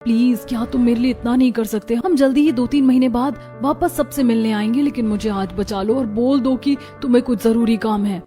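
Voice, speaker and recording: A woman's voice: a little high, brisk, hardly any pauses, warm tone, soft background music, natural voice. A woman voicing a peevish young city-bred daughter-in-law in a Hindi cartoon story, complaining and pleading. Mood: anxious, pleading.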